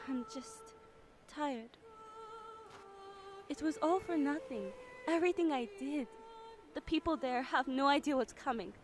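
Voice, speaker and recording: A woman's voice: high-pitched, a little slow, pauses often. A woman playing an idealistic, compassionate young operative burdened by guilt in a radio drama, earnest and emotional. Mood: weary, defeated. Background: background music.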